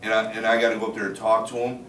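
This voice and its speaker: A man in his thirties gives a classroom speech, speaking plainly and filling pauses with "uh" before rushing ahead. He is heard from across a small, roomy space. A faint hiss sits under the voice. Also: fairly low pitch, monotone, a bit bright. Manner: plain-spoken, many "uh" fillers, rushes in spurts. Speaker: student giving a class speech. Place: small room with a few listeners. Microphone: camera across the room. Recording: echoey room from far off.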